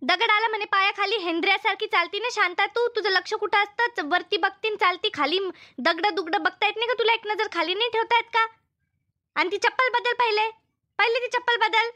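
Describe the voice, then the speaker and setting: Woman voicing a very high, piercing, nagging cartoon mother. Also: fairly quick, bright, forceful tone. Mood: scolding. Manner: nagging, repetitive, fretful. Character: Shanta's fussing, scolding older village mother. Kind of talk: comedy cartoon dubbing.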